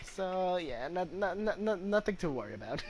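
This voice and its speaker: A man's voice: sits high, big pitch swings, moderate pace. A man giving casual gameplay commentary, rambling hesitantly and sounding amused. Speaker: gamer, video host.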